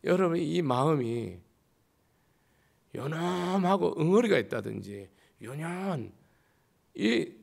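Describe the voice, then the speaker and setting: A man, a Buddhist monk, giving a sermon with a slightly hoarse voice, driving his points home with rhetorical questions and jumps between loud and quiet. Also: a little high, sing-song, takes it slowly, long pauses.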